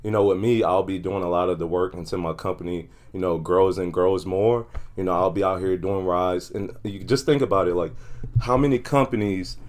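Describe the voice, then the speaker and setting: A man's voice: low voice, steady tone, brisk, a little mellow. A man talking casually as a podcast guest about his own work, talkative and often saying "you know". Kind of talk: casual conversational interview.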